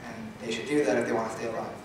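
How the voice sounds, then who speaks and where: A man's voice: mid-range voice, flat delivery, racing. Young man, blunt student presenter fielding questions; distant mic, roomy echo. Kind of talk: answering questions after a pitch. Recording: echoey room, far from mic, noisy.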